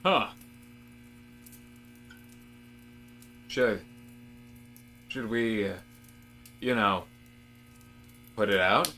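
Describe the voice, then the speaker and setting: A man playing a polite, bland office worker in a deadpan comic audio drama, speaking hesitantly. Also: fairly low pitch, a little hiss or hum, exaggerated voice. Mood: puzzled. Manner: hesitant, halting, with many fillers. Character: George, polite, bland office man turning earnestly philosophical.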